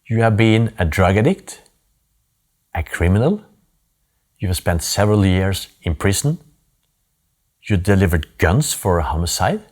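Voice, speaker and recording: A man's voice: low voice, animated, measured pace, long pauses, a little mellow. Norwegian man telling his life story. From Norway. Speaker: speaker giving a talk. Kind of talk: personal story talk.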